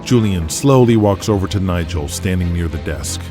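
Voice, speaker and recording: A man's voice: sits low, expressive, normal speed. A man narrating an audio drama in a dramatic scripted style. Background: music.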